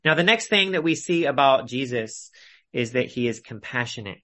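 A man with a mid-pitched voice gives a Bible study as a teacher. He explains and applies the text earnestly, in measured, instructive phrases. He is speaking on an online video call. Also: animated, a bit bright.

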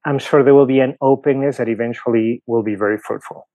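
A man's voice: mid-range voice, fairly even intonation, fast, dark tone, dry recording, rough recording. A middle-aged man from Peru with a Peruvian Spanish accent, a priest explaining his views in a podcast interview with many fillers and examples. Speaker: priest guest.

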